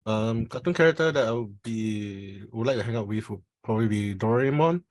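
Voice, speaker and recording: Male participant, Singaporean accent, lower pitch, dry close sound. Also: fairly quick, darkish tone, no echo. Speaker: meeting participant. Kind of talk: informal self-introduction.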